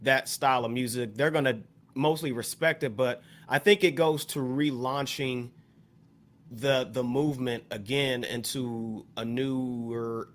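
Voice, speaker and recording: A man on a casual discussion panel, speaking in a measured, halting way with rather formal wording. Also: medium pitch, fairly even intonation. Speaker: talk show panelist.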